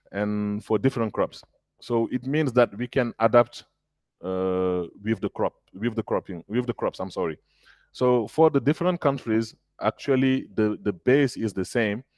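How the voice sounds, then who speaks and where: Guy on a webinar call, hesitant, lots of uhs, dry low voice. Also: darkish tone, no room sound. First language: French. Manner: hesitant, many fillers and restarts. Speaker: agritech founder answering questions. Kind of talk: webinar Q&A answer. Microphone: video call.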